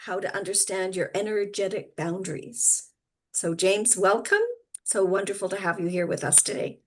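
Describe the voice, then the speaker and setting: A woman's voice: medium pitch, fairly even intonation, normal speed. A woman hosting an online wellness event, introducing speakers in a loose, hesitant way with many fillers. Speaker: host and founder of an online wellness platform.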